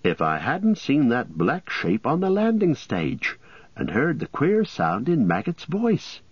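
A man putting on a keen young hobbit fellow who keeps pressing with questions, his voice lifted high and lively. It sounds husky, dry and dull, like an old audiobook recording. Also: a little slow, no room sound, heavily acted. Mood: eager. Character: eager curious young male hobbit Merry.

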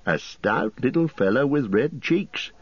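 A man voicing a fussy, apologetic middle-aged innkeeper who chatters and rambles, with a husky voice. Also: medium pitch, very animated, measured pace, dry recording, muffled recording, voice pushed up, heavily acted. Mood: fussy, rambling.

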